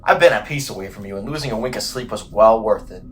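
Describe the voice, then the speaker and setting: A man's voice: on the low side, fast, a bit bright, a little hiss or hum, lightly acted. A man playing an exhausted, bitter, volatile sleepless man in an audio drama, with intense emotional delivery. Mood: weary bitterness.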